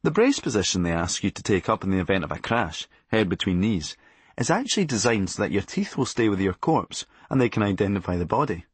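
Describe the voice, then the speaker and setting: Husky, low-pitched Scottish man with animated delivery. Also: brisk, dry recording. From Scotland. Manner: sardonic, darkly comic, blunt. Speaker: comedian narrating a memoir audiobook. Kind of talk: scripted comic memoir narration.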